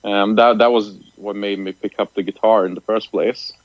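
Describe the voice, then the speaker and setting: A man in his late twenties from Lithuania, a musician guest talking in a relaxed, soft-spoken way and laughing often. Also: lowish voice, normal speed, no echo, muffled recording. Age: late 20s. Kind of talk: casual interview over the phone.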